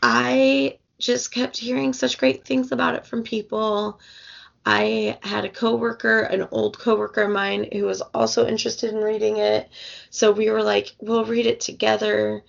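Slow-talking woman with a gravelly, fraying voice. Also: on the high side, flat delivery, highs cut off. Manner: rambling, many fillers and restarts. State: losing her voice. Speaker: podcast co-host. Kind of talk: casual book podcast chat.

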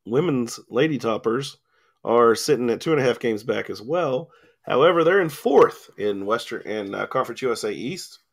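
A man's voice: mid-range voice, lively intonation, normal speed, creaky. A man hosting a casual sports radio show in a studio, rambling with many ums and fillers, his voice starting to go. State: losing his voice, coughing. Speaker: sports talk host. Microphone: studio mic with cough button.

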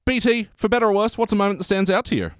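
A man hosting a casual, jokey review podcast in an animated way. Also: high-pitched, rapid-fire, smooth, no echo, studio quality. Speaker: podcast host. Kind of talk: casual comedic review chat.

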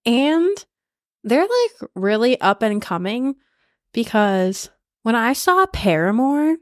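A young woman co-hosting a podcast, chatting in a relaxed, rambling way with many "like"s. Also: a little high, takes it slowly, dry recording, studio quality. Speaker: podcast co-host. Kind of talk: casual chat.

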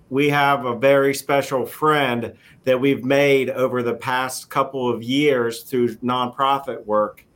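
A man's voice: medium pitch, a bit bright. A man hosting a podcast interview, talking in a folksy way with stumbling restarts. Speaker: podcast host.

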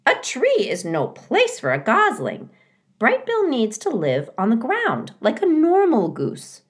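A woman's voice: fairly high pitch, huge pitch swings, a little slow, pitched above the performer's own voice. Woman voicing a squawky, bossy storybook mother goose. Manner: emphatic, swooping, opinionated. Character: bossy, blunt, fussy motherly female goose. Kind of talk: children's storybook character reading.

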